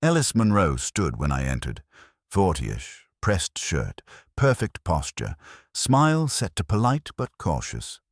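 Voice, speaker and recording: A man narrates a hardboiled mystery in an extremely deep voice with lively intonation. His delivery is wry and clipped, and the recording is dry. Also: unhurried, no room sound. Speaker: audiobook narrator in the part of a wry detective's assistant. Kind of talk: scripted first-person hardboiled mystery narration.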